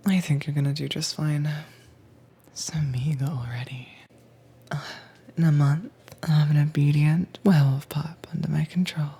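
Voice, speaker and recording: A woman playing a dominant, teasing mistress in an intimate roleplay audio, soft-spoken and coaxing but commanding, with a breathy, whispery voice. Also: sits low, steady tone, normal speed, full character voice. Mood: calm, controlling. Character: dominant, teasing mistress training her werewolf pet.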